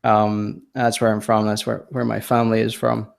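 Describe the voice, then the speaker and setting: A man in his thirties from Scotland, his accent softened by years in the US. He is a podcast guest telling his story in casual chat, hesitant and self-deprecating, with plenty of ums and restarts. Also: on the low side, level tone, moderate pace, creaky. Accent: Scottish, softened by years in the US.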